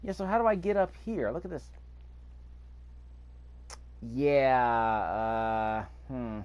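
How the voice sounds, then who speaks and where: A man's voice: medium pitch, slow pace, darkish tone. A man giving casual let's-play commentary, rambling and thinking aloud as he goes. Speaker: gameplay video host. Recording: clear background noise.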